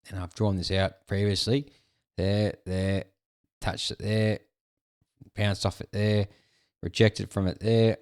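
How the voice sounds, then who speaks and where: A young Australian man in his twenties casually talking through market charts, rambling with drawn-out fillers and a frequent "okay". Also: lowish voice, darkish tone. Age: 20s. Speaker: crypto video host.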